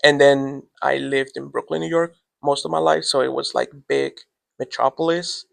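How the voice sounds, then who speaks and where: A man whose first language is Spanish, a teacher answering interview questions in a relaxed, rambling way that trails off. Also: mid-range voice, moderate pace, creaky, dry recording.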